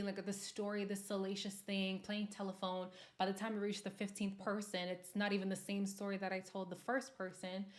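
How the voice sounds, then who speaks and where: A woman from Montreal talks openly on her livestream, her pitch sitting in an ordinary middle range. She chats casually with viewers, answering their comments and breaking into frequent laughter. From Montreal, Canada. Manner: candid, conversational, laughs often. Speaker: livestream host.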